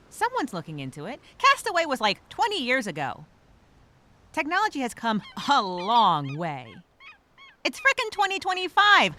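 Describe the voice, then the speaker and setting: A woman's voice: on the high side, sharp tone, heavily acted. A woman playing a nervous, wry castaway who rambles and reassures herself in a spoken journal monologue. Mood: anxious, self-reassuring. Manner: rambling, nervous, self-questioning, sarcastic asides. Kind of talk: first-person dramatic monologue as spoken journal.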